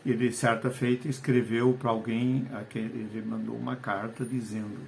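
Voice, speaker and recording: An older man from Portugal, his accent softened by decades in Brazil, gives a conversational video lesson as a writer and educator, often tagging questions and slowing down to stress key phrases. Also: medium pitch, little pitch movement, vocal fry, slight background noise. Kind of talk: video lesson on short fiction.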